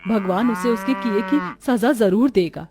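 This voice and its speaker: A woman voicing a kind, devout village wife in a cartoon story, speaking softly and with compassion. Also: medium pitch, normal speed, a crowd in the background, lightly acted. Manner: soft, compassionate.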